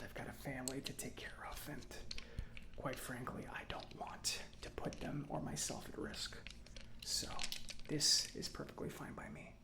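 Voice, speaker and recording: Man acting as easygoing chatty repairman, soft breathy near-whisper, rambling and hesitant, ASMR roleplay. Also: mid-range voice. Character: chatty, easygoing male government robot mechanic.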